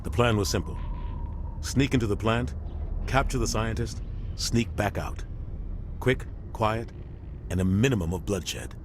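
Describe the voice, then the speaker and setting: A man voicing a weary older military captain in a video game, speaking in a grave, measured, plain-spoken way. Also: fairly low pitch, noisy background, natural voice. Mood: grave recounting. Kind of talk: dramatic video game dialogue.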